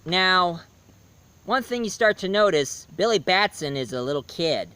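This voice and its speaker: A man giving a casual spoken review, hoarse, rambling and correcting himself mid-sentence. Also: high-pitched, expressive, unhurried, bright, forceful tone. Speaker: movie and comics reviewer.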